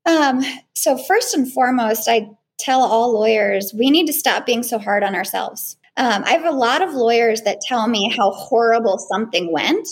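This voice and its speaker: A woman trial lawyer with a small, girlish voice, answering interview questions in a relaxed way with frequent ums and restarts. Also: fairly high pitch, moderate pace, few pauses. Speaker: trial lawyer and cross-examination trainer.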